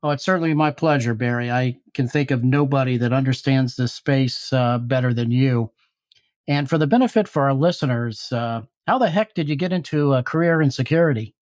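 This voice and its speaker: A man's voice: medium pitch, a little fast, a little mellow. A man hosting a podcast interview, reading a polished introduction and asking questions. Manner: polished, scripted-sounding introductions. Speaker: podcast host.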